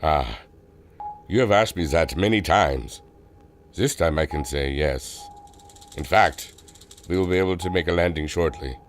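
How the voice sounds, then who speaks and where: A brooding German airship captain from a radio adventure serial. His voice is very deep, accented and grave, measured and secretive, with light music underneath. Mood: grave, guarded.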